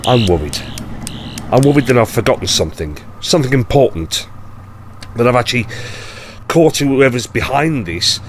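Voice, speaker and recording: An actor playing a blunt, harried 1960s police detective in an audio drama, with an English accent and an exasperated, colloquial manner. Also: male voice, medium pitch, very animated, normal speed, long pauses, a little hiss or hum, natural voice. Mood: worried.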